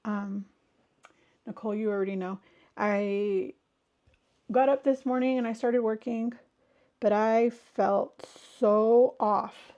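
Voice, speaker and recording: A woman's voice: on the high side, steady tone, slow, long pauses, dark tone, muffled recording. Chatty congested woman livestreaming. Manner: chatty, rambling, greets viewers mid-sentence. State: congested, recovering from a sinus illness. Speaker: livestream host. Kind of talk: casual chat with live viewers.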